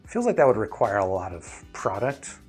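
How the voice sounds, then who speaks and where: A man's voice: on the low side, very animated, normal speed, darkish tone, faint music behind. A man giving gear opinions as a reviewer and host, chatting casually and thinking aloud as he answers viewers. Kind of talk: casual livestream chat answering viewer questions.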